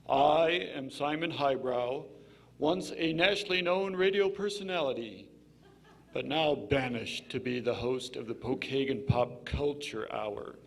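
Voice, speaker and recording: A man's voice: mid-range voice, creaky. A man playing a pompous, snide radio host in a comedy sketch, with a haughty, sarcastic delivery. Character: pompous, snide male radio host judging child auditions. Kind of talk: scripted comedy sketch in old-time radio manner.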